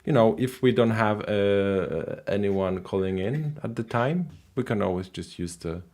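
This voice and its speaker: A man's voice: fairly low pitch, a little slow, darkish tone. A man hosting a casual live call-in stream who talks hesitantly, with many fillers and easy laughter.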